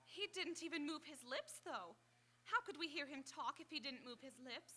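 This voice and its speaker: A woman's voice: high voice, animated, moderate pace, sharp tone. A woman playing an eager, admiring young companion to a scientist, earnest and inquisitive, in old-time radio drama style. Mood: amazed. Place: live on an auditorium stage.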